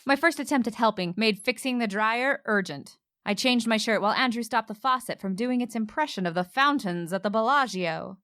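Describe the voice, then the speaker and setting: A woman acting a guarded, headstrong young lawyer in a romantic radio play, brisk and wry. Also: fairly high pitch, fairly bright tone, close to an everyday voice. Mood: wry narration.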